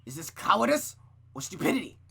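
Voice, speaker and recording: Lady doing a cruel bossy mom from a visual novel, theatrical and scornful, kinda husky, pitch jumps up. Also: big pitch swings, fairly bright tone, full character voice. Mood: scornful fury. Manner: theatrical, drawn-out, scornful delivery. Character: cruel, venomous mother, domineering and accusing.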